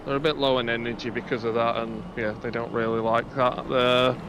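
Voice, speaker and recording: A man's voice: mid-range voice, level tone, fairly quick, noisy background, natural voice. A man with a Manchester accent, a sim racing driver joking through a mid-race interview. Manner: laid-back banter, laughs often.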